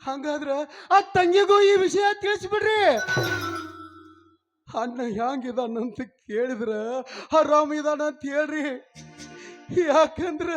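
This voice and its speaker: An actor playing an emotional young village husband who talks in a pleading, heartfelt way. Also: male voice, very high voice. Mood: urgent. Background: music.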